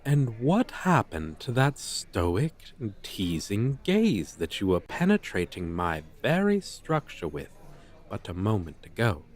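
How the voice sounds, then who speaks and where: A man's voice: fairly low pitch, wildly swooping pitch, a little slow, darkish tone. A man playing a pompous, flirtatious dragon teacher in an ASMR roleplay, speaking in florid, formal, condescending phrases. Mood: smug, haughty. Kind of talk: ASMR roleplay, slow theatrical delivery.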